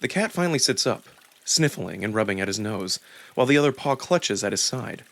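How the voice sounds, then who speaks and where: A man reading a visual novel aloud as narrator, in an expressive, deliberate first-person storytelling style. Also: on the low side, vocal fry.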